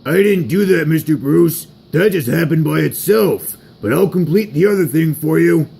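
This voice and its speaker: A man's voice: on the high side, lively intonation, moderate pace, creaky, mellow, breathy edge, voice pushed up, exaggerated voice. A man voicing a timid, apologetic, obliging steamroller henchman in a homemade story.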